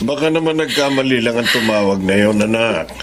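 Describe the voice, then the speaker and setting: A man's voice: medium pitch, expressive, brisk, a bit bright, soft background music, natural voice. An actor playing a concerned older father with a Filipino accent in an audio drama.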